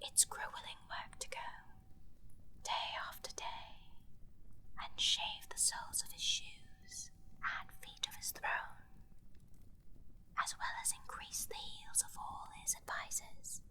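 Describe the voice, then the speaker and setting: Woman acting a slow-talking arrogant scheming princess. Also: very high voice, fairly even intonation, bright, forceful tone, whispered, full character voice. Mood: conspiratorial whisper. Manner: haughty, theatrical, slow and teasing. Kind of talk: dramatic fantasy audio roleplay with ASMR whispering.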